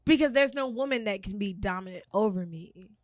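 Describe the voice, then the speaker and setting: A woman, speaking bluntly and frankly in a casual podcast conversation. Her voice is mid-pitched, and the recording is dry and close-miked. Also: lively intonation, fairly quick, dry recording. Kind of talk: casual chat.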